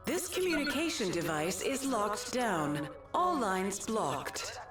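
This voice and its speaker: Slow echoing female announcement system. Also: medium pitch, big pitch swings, over music. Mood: calm, official. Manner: measured, impersonal. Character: calm official female facility announcement system. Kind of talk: slow formal public announcement. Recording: heavily echoing public-address announcement.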